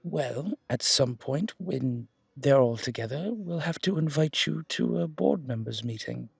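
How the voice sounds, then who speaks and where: A man voices a genial, effusive museum curator for a roleplay audio. The voice is gravelly and husky, courteous and welcoming, recorded close and dry. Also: mid-range voice, expressive, unhurried, no room sound, exaggerated voice. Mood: warm, welcoming. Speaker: voice actor. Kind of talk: roleplay audio drama acting.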